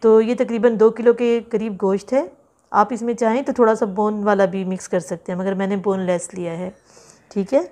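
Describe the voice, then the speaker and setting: A woman hosting a home cooking tutorial, chatting through each step and reading out ingredient amounts slowly, often repeating them. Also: mid-range voice, steady tone, mellow. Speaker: cooking channel host. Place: home kitchen.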